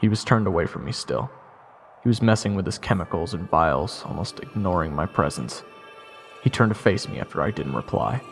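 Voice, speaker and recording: Fantasy story narrator: male actor as a wry young adventurer, gravelly, with clear background music. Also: low-pitched, lively intonation, a little fast. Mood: reflective. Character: wry, self-deprecating young male soldier recounting his adventure.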